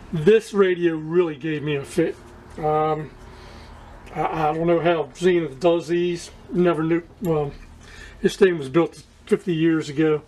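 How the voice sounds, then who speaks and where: A man with a slightly high-pitched voice talks his way through a repair in a casual, unscripted way. His delivery is halting, plainspoken and folksy. The homemade recording carries some noise behind him. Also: a little mellow. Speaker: hobbyist radio restorer hosting a repair video. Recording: clearly noisy amateur recording.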